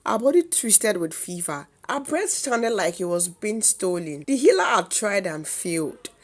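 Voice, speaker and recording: A woman with a Nigerian accent narrates a folktale as a storyteller, in a theatrical, solemn manner with deliberate phrasing. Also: mid-range voice, big pitch swings.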